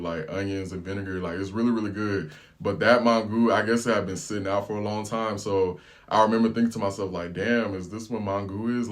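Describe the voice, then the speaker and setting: American man, low voice, travel vlogger, laid-back slangy story time. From United States. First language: English. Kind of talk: casual storytelling.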